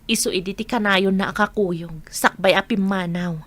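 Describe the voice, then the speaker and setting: A woman's voice: mid-range voice, fairly even intonation, moderate pace, fairly bright tone, a little hiss or hum, natural voice. A woman playing a patient but hurt young mother, earnest in manner, with an Ilocano accent in a radio drama.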